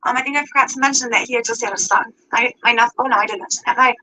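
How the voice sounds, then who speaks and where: A woman's voice: on the high side, very fast, sharp tone, low-quality recording. A woman telling a long personal story, rambling and adding details as she goes. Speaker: vlogger telling her story.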